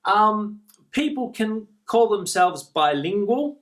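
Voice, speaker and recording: An Australian man gives an English lesson, explaining each word patiently and saying the key terms twice. His voice sits a little higher than most men's. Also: sing-song, slow pace. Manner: clear explanatory teaching, repeats key words. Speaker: English teacher.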